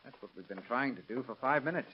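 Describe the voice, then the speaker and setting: An actor playing a cynical police partner, sardonic and slangy, in 1930s radio crime drama style. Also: male voice, mid-range voice, animated, fast, very noisy, close to an everyday voice. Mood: teasing. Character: cynical, wisecracking police partner who scoffs at hunches. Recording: dull lo-fi vintage radio recording.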